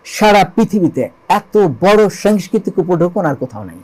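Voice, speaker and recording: A Bangladeshi man with a high-pitched voice explains religious history as a storyteller. He is emphatic, repeating key phrases and asking rhetorical questions as he goes. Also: sing-song, moderate pace, a little mellow, husky. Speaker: interview guest, lyricist and composer.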